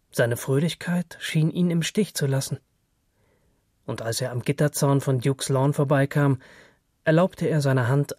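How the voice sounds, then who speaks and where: Measured male narrator, very dry sound. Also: medium pitch, a little mellow, dry recording. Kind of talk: scripted literary narration. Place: dry studio.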